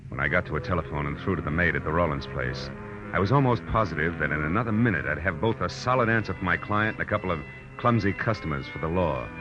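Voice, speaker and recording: An actor playing a tough, wry private eye in a 1940s radio crime drama, speaking in clipped slang on an old recording. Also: male voice, low-pitched, fast talker, dull audio, over music, close to an everyday voice. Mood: grim narration. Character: hard-boiled male private eye, wry, tough and insistent. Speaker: detective and first-person narrator.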